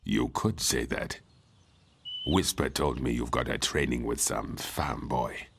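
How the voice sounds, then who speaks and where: Extremely deep, arrogant champion fighter. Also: male voice, slow pace, creaky, a bit bright, slight background noise, full character voice. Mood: haughty. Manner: boastful, condescending. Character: arrogant, domineering adult male champion fighter. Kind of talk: fantasy video game acting.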